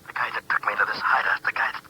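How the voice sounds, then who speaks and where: An actor playing a doomed man in hiding, desperate and fearful, speaking in a hushed, strained voice over a telephone in a 1950s radio drama. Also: very fast. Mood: urgent, fearful. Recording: noisy old radio recording, distant phone voice.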